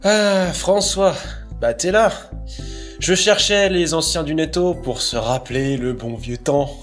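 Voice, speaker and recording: A man's voice: fairly high pitch, unhurried, soft background music, lightly acted. A man playing a friendly former colleague with a casual, chummy manner.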